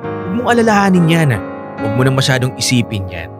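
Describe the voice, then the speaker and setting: An actor playing Felix, a clingy young boyfriend in love, emotional and coaxing in a radio romance drama. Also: male voice, medium pitch, wildly swooping pitch, fast, over music. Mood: insistent. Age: young adult.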